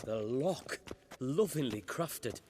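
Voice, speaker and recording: A man playing a fastidious safecracker in a fantasy video game, speaking with deliberate, admiring precision. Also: medium pitch, animated, darkish tone, a little hiss or hum. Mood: reverent, absorbed. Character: fastidious male safecracker, admiring connoisseur of locks. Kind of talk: cinematic game dialogue.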